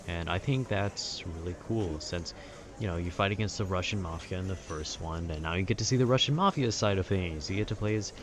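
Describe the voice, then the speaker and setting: A man with a very low voice chats calmly to the listener in a loose, nerdy ramble. He wanders and cuts himself off as he goes. Clear urban background noise sits behind his voice. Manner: nerdy, meandering, self-interrupting. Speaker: solo audio creator rambling to the listener. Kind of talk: calm casual rambling chat about video games. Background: urban ambience.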